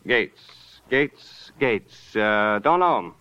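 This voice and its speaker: A man's voice: fairly low pitch, animated, extremely slow, bright, forceful tone, full character voice. A man playing a fussy, evasive but eager camera shop salesman in a 1950s radio crime drama. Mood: evasive, flustered. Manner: fussy, hesitant, effusive. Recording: muffled old radio recording.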